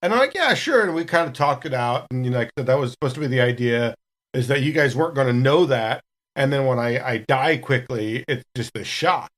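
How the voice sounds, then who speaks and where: A man's voice: mid-range voice, animated, fairly quick, fairly bright tone. A man on a podcast, chatting casually and recounting anecdotes as one of the cast. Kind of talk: casual chat, telling anecdotes.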